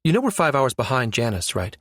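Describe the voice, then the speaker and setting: A man voicing a brisk, sardonic, exasperated woman space operative with informal, slangy speech in a science fiction audiobook. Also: medium pitch, sing-song, a bit bright, no room sound, clean studio sound. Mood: doubting.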